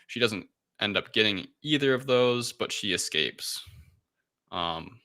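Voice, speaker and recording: The deep-voiced guy at the head of a movie podcast, feeling his way through a recap in a sharp, forward tone. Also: animated, unhurried. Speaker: podcast host. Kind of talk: casual film review discussion.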